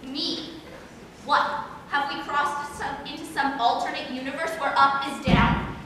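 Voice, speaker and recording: Woman playing a bossy, high-voiced teen girl, very echoey. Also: fairly even intonation, unhurried, sharp tone. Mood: reproachful, dramatic. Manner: theatrical, insistent. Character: bossy, melodramatic, demanding teenage girl at a sleepover. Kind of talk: amateur one-act stage play. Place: stage performance in a large hall. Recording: echoey hall, distant mic, faint noise.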